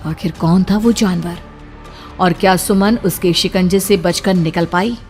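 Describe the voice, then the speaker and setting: A woman's voice: on the low side, steady tone, a little slow, darkish tone. A woman narrator reading a scripted horror story in a dramatic style. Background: music.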